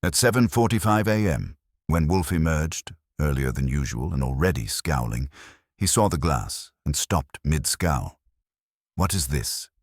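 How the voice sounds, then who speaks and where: A man with an extremely low voice narrates a first-person detective story. His pitch moves in lively swings from line to line. The sound is dry, with hardly any room on it. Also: measured pace, dry recording.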